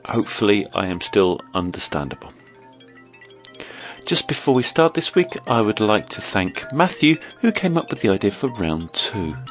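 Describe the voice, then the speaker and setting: Croaky quiz host with a cold. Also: male voice, fairly low pitch, sing-song, normal speed, over music. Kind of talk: reading quiz questions.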